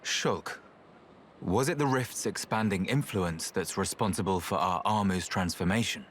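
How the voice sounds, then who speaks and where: A man's voice: low voice, a little slow, a little hiss or hum. A man playing a polite, earnest scholar in a fantasy video game, deferential and formal in his explanations. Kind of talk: video game cutscene acting.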